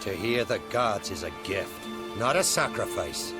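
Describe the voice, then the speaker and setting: Tormented, pious jarl, loud music. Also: male voice, on the low side, animated. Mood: brooding, pious. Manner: halting, brooding, solemn. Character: tormented, pious island jarl, proud and haunted. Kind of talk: video game cutscene acting.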